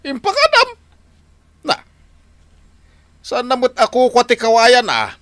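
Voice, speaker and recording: A man's voice: extremely high pitch, wildly swooping pitch, takes it slowly, fairly bright tone, no echo, full character voice. An actor playing a cantankerous, suspicious old village man in an Ilocano radio drama, grumbling and exclaiming with an Ilocano accent. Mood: indignant.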